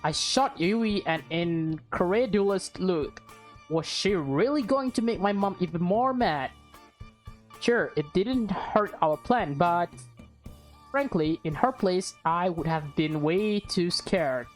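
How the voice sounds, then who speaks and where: Slow-paced woman reading a visual novel. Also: fairly low pitch, lively intonation, faint music behind. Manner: self-correcting, chatty, breaks off to comment and giggle. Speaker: gaming channel host. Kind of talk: reading a visual novel aloud with casual asides.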